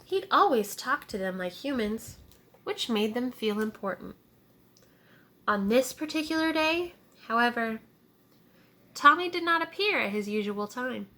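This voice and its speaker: A woman teacher reading a picture book aloud to young children, deliberate and child-directed, pausing to explain words and ask simple questions. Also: on the high side, animated, pushed and bright.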